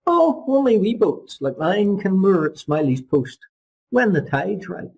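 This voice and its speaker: A man voicing a chatty, good-humoured small-boat fisherman in an audiobook, with folksy, colloquial turns and a gravelly voice. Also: sits high, sing-song, a little slow, darkish tone, voice pushed up, exaggerated voice. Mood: chatty, matter-of-fact.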